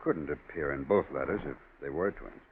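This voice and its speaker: An actor playing a methodical, cautious police sergeant in a 1940s radio drama, explaining in a measured way. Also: male voice, rumbling low. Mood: matter-of-fact. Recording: tinny, faintly noisy 1940s radio recording.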